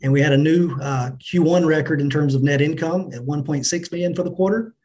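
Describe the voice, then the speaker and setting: A middle-aged man answering business interview questions as a company finance chief, in a measured, businesslike manner. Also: a little high, dark tone. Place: indoors on a remote video call.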